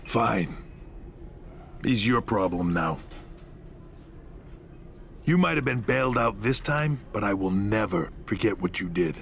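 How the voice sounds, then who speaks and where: Bitter, menacing male wrestling authority for game cutscenes. Gravelly, slow, faint background noise. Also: lowish voice, animated, exaggerated voice. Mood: bitter, threatening. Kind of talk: video game cutscene dialogue.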